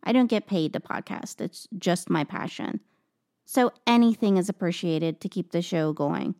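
A woman's voice: lowish voice, moderate pace, a little mellow, no room sound, studio quality. A woman hosting a true crime podcast, talking to listeners and narrating. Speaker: podcast host.

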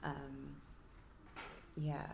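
Woman, low gravelly voice, quiet and halting, echoey room, faint noise. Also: monotone. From Nigeria. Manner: quiet, reflective, halting with ums. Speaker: hairstylist and artist. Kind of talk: casual interview answer.

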